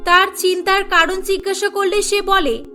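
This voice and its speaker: A woman's voice: very high voice, little pitch movement, moderate pace, bright, forceful tone, soft background music. A woman narrating a scripted cartoon story.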